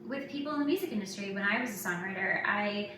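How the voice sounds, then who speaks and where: A woman's voice: mid-range voice, quick pace. A woman hosting a podcast, chatting casually in an informal, conversational way. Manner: informal, filler-heavy, conversational. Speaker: podcast host and author. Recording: very echoey, distant mic, clearly noisy.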